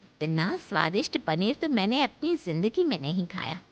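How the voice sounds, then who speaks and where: A woman's voice: medium pitch, very animated, moderate pace, dull audio. A woman voicing a fussy, grumbling older mother-in-law in an exclamatory cartoon story style. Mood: grudging. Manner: exclamatory, scolding. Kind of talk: cartoon moral-story acting.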